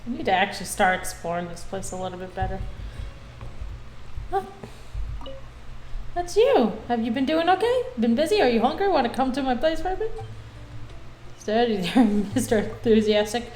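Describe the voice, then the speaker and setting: Female game streamer, casual commentary and read-aloud dialogue, higher voice, noticeable room echo. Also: sing-song, normal speed, pauses often, a little mellow, a little hiss or hum. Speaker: game livestreamer. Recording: roomy echo, music behind.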